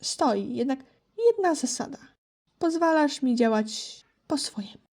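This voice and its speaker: A woman's voice: high voice, animated, measured pace, darkish tone. A woman voicing a small young male wolf in an audio drama, guarded and snide, with a curt, dismissive delivery. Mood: cool, confident. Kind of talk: Polish amateur audio drama acting.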